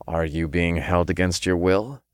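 A man voicing a defiant, sarcastic young hero and demon king in a fantasy audiobook, forceful and informal. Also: deep voice, fairly even intonation, a little slow, clean tone, no room sound, studio quality. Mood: challenging.

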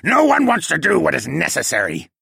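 A man acts out a fanatical penguin creature from a fantasy audiobook, spitting hatred in a high, strained squawk. The delivery sneers and hisses, with a rough edge, recorded close and dry. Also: very animated, normal speed, bright, forceful tone, no echo, full character voice. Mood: defiant, hateful. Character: hateful, fanatical male penguin demi-human assassin. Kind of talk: acted audiobook dialogue.

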